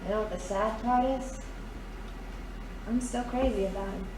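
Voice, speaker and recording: An actress playing a brassy, defiant, hard-drinking dame in a noir radio drama, wisecracking in tough slang. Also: female voice, medium pitch, steady tone, normal speed, lightly acted. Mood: bitter, lovesick. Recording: roomy, distant, faint background noise.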